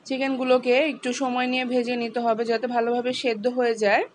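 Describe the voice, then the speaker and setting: A woman, calmly walking through a home cooking recipe step by step as the channel's host. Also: fairly high pitch, fast, a little hiss or hum. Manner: calm, instructive, explains each step.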